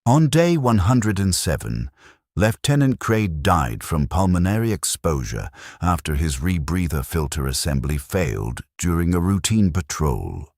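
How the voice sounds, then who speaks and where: A man's voice: deep voice, sing-song, measured pace, a little mellow, no room sound, clean studio sound, exaggerated voice. A man narrating as a guilt-burdened soldier in a war story, speaking in clipped, formal, detached sentences like a report. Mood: grim, detached confession. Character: guilt-burdened soldier, a logistics corporal, confessing coldly. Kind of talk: scripted first-person war story narration.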